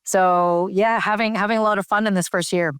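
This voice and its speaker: A woman's voice: medium pitch, steady tone, fairly quick, a bit bright, smooth, dry recording, clean studio sound. A woman from Canada, a company CEO, answering interview questions over a remote call, thoughtful with many fillers and restarts.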